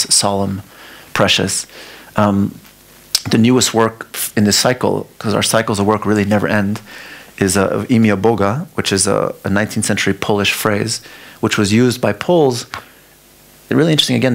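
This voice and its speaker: Gravelly low-voiced man giving an artist talk. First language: Farsi. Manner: halting, restarts often, talks through ideas. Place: museum lecture hall.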